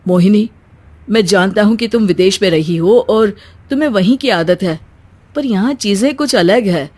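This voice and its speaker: A woman's voice: medium pitch, moderate pace, a little mellow, natural voice. A woman voicing an earnest young husband who lectures reproachfully in a moral story.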